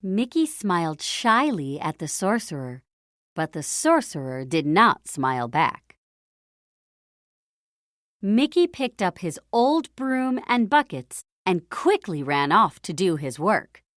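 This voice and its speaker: Animated, unhurried woman storyteller. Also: lowish voice, no room sound, studio quality. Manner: deliberate, dramatic storytelling with emphatic phrasing. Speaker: storybook narrator. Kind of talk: expressive children's read-aloud narration.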